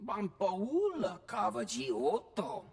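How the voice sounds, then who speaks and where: A gravelly, husky alien pirate brawler. He growls and snarls in a made-up alien tongue and sounds ready for a fight. A video game cutscene. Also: high voice, animated, takes it slowly, a little mellow, exaggerated voice. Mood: menacing.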